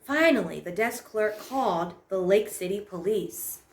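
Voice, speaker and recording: A woman narrating a children's story as a storytime host, in a measured, expressive read-aloud manner. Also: mid-range voice. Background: prominent piano music score.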